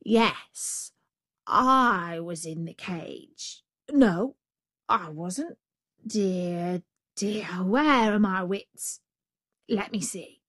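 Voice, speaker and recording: A woman's voice: medium pitch, extremely slow, a bit bright, no echo, clean studio sound. A woman voicing a male storybook jackal who plays dim, fretful and hesitant, with an obsequious manner. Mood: flustered. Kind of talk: storybook character voice.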